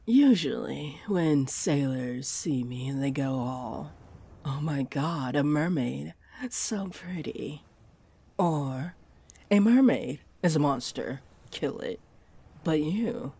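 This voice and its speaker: A woman's voice: sits low, mellow, slightly rough, heavily acted. A woman playing a flirty, scheming mermaid in a roleplay audio drama, teasing and coaxing her listener. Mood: playful, teasing. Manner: teasing, coaxing, slow and deliberate. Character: flirty, scheming female mermaid, sweetly tempting a pirate.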